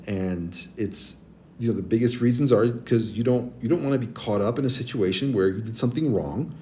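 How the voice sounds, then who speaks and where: Deep voiced guy in his 40s chatting to his stream off a tablet mic, mellow tone, bit of hiss behind. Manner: friendly, advice-giving, many ums and restarts. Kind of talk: casual chat with stream viewers.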